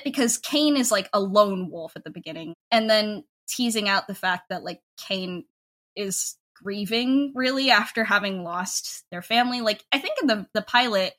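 A woman's voice: mid-range voice, sharp tone. A woman interview guest talking casually about creative work, often dropping "like" into sentences. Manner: informal, frequent "like" as a filler.

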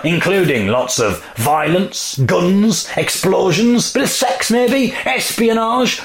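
A tired man with a slightly raspy voice, hosting a comic monologue podcast in a deadpan, rambling, self-mocking way. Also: a little high. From England. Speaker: podcast host.

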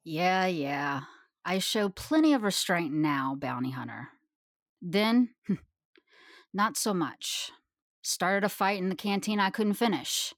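Actress as tough female gunslinger, lowish voice, arrogant, wry, clipped delivery, close dry recording, audio drama. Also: lively intonation, slow pace, dry recording.

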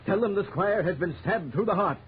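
An actor playing an emphatic, commanding village sheriff in a 1930s radio drama. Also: male voice, high-pitched, lively intonation, fairly quick. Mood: urgent, commanding. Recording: dull, noisy 1930s radio recording.

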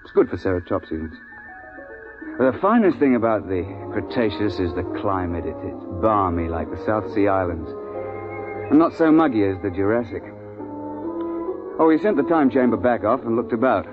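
An actor playing a gruff, no-nonsense big-game guide in a 1950s radio drama, brisk, colloquial and authoritative. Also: male voice, on the low side, big pitch swings. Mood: matter-of-fact storytelling. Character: gruff, no-nonsense British big-game safari guide recounting his hunt. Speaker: narrator and lead character. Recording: tinny 1950s radio broadcast, music behind.

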